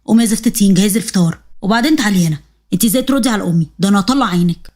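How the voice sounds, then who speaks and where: A woman's voice: medium pitch, rapid-fire, a little mellow, close to an everyday voice. A woman voicing a harsh, bullying young husband, speaking in an Egyptian accent. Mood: threatening. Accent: Egyptian Arabic. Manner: gruff, threatening.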